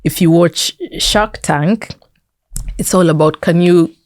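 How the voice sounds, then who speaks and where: A woman's voice: fairly low pitch, slow, a little mellow, no echo, clean studio sound. A woman with a Kenyan accent speaking as an interview guest, explaining things conversationally with examples and emphatic repetition. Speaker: interview guest, tech entrepreneur and founder.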